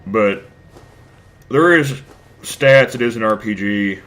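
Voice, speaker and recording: A man's voice: lowish voice, takes it slowly, fairly bright tone. A man commenting casually on his gameplay, rambling, crude and self-deprecating, a bit stuffed up from a cold. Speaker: let's play host.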